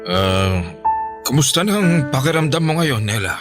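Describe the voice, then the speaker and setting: An actor with a Filipino accent playing a grave older village folk healer in a radio drama, speaking slowly and ponderingly with thoughtful hums. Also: male voice, medium pitch, sing-song, music in the background, natural voice. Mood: grave, probing.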